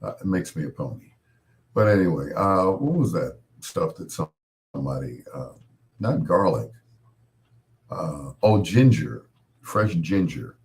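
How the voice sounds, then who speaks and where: A middle-aged man with a cold-roughened, hoarse voice, hosting a late-night radio show in a rambling, folksy way and chuckling at his own corny jokes. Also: low-pitched, animated, a little slow, pauses often, a little mellow.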